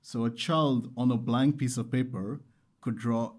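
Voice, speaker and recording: A man's voice: mid-range voice, fairly even intonation, measured pace, darkish tone. A young man from Qatar telling his story as an interview guest, earnest and enthusiastic, repeating phrases for emphasis. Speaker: interview guest, startup founder.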